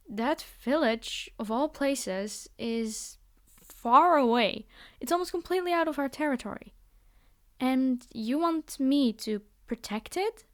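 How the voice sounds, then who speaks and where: Vampire captor female performer, high-pitched, bossy yet coaxing and condescending, scripted ASMR roleplay addressed to the listener. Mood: puzzled. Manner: bargaining, condescending, reassuring, speaking directly to the listener. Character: commanding vampire girl, teasing, coaxing captor of a human pet.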